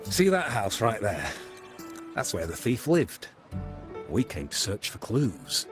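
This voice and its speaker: A resigned mob errand man in a game, lowish voice that moves around a lot, matter of fact, music behind. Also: very animated, takes it slowly. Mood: resigned, confiding. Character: busy working-stiff family agent, gossipy and resigned. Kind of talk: scripted video game dialogue.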